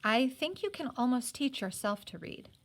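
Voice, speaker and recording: A woman's voice: a little high, sing-song, normal speed. A woman voicing an eager, kindly teenage apprentice boy from a storybook, earnest and encouraging.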